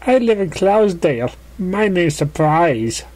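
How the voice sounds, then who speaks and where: A young female pegasus from a storybook cartoon, voiced by a man. The pitch is lifted, and the manner is light, friendly and a little mischievous. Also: very animated, takes it slowly, a little mellow, heavily acted. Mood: cheerful.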